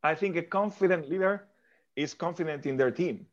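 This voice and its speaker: A man's voice: a little high, normal speed, lo-fi sound. A man from Argentina answering a podcast host's questions thoughtfully, often restarting and repeating words as he works out his point. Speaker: podcast guest. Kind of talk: interview answers.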